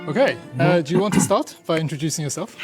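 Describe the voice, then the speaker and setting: A man's voice: a little high, lively intonation, fast talker, loud music behind the voice. A man hosting an interview podcast, introducing topics and asking questions with short acknowledging replies.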